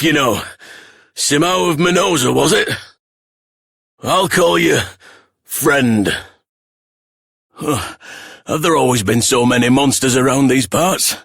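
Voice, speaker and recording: An actor playing a friendly, world-weary warrior in a video game, speaking informally and sincerely with a husky voice. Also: male voice, medium pitch, fairly bright tone, dry recording, clean studio sound. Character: friendly, world-weary warrior man, loyal comrade on the run. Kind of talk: scripted video game voice acting.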